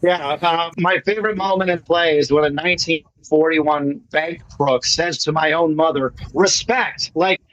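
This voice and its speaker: A man's voice: a little high, moderate pace, hardly any pauses, a bit bright. A man speaking casually as a guest playwright and actor in an online meeting. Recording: dull lo-fi video call audio.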